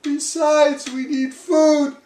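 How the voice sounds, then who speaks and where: A man's voice: extremely high pitch, flat delivery, slow pace, a little mellow, echoey room, far from the mic, pitched above the performer's own voice. A man voicing a meek little storybook turtle who pleads politely and plaintively. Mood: pained, pleading. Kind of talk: theatrical children's storybook read-aloud.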